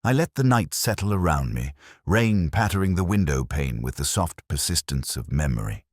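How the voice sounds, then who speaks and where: A man playing a middle-aged detective who narrates a mystery in a measured, wry, reflective and literary manner. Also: extremely low pitch, sing-song, dry recording, studio quality, exaggerated voice. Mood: melancholy, musing. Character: world-weary, wry, literary English male detective narrating his case. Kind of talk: scripted first-person mystery narration.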